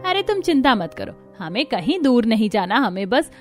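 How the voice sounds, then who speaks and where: A woman's voice: fairly high pitch, big pitch swings, normal speed, soft background music. A woman voicing a cheerful, bossy middle-aged mother-in-law in a cartoon story, exclaiming and insistent. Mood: pleased, reassuring.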